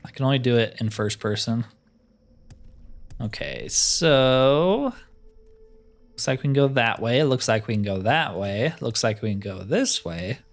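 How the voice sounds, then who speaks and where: A man's voice: medium pitch, animated, a little slow, pauses often. Casual man commentating over game music. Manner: casual, thinking aloud, self-deprecating humor. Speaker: gaming video host. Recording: music behind the voice.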